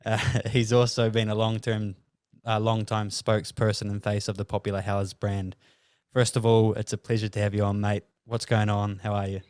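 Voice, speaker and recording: A man with a New Zealand accent hosts a podcast and reads the guest introduction with frequent hesitations. His voice sits low and flat but comes across smooth and clear, and the sound is dry. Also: dry recording. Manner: halting, many "uh" fillers while reading out an introduction. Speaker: podcast host. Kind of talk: casual podcast interview and introduction.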